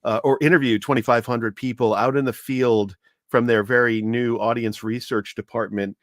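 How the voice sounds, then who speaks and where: A man giving an informal, explanatory history talk with anecdotes, speaking in a measured, hesitant way as a commentator. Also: medium pitch, fairly even intonation, dry recording, studio quality.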